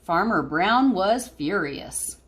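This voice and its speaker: Animated woman teacher reading to children. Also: mid-range voice, takes it slowly. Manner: explains words simply, animated storytelling. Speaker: teacher reading a picture book to students. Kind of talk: expressive read-aloud and chat to children.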